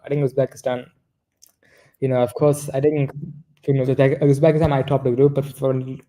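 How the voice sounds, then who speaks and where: A man's voice: medium pitch, flat delivery, fairly quick, warm tone, rough recording. A man co-hosting a casual football discussion, rambling and often correcting himself mid-sentence. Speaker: football podcast co-host.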